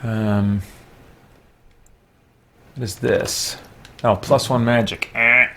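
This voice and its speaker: A man's voice: mid-range voice, steady tone, very slow, creaky, sharp tone. A man hosting a let's play, chatting casually in a rambling, reflective way while he plays. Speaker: gaming channel host.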